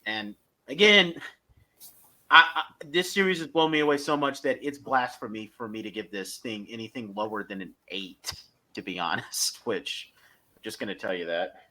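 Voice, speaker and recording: A man's voice: lowish voice, normal speed, pushed and bright. A man co-hosting a podcast, giving an enthusiastic, opinionated fan review in a casual discussion.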